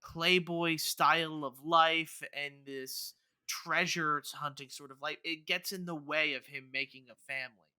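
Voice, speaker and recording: Guy podcast cohost, higher voice, really bright n clear, kinda rambles earnestly thinking out loud. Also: steady tone, a little slow. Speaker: podcast co-host. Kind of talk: casual podcast discussion.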